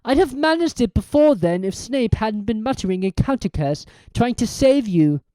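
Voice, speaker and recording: A woman's voice: a little high, expressive, moderate pace, warm tone, no echo, pitched above the performer's own voice, natural voice. A woman voicing a cold, sneering male teacher villain in a storybook reading, cool and mocking. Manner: cool, mocking, mock stutter.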